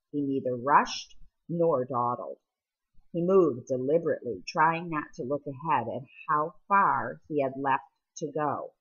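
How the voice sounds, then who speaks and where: Husky-voiced woman reading a story. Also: low-pitched, dull audio. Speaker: audiobook reader. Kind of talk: scripted story narration, reading a novel chapter aloud.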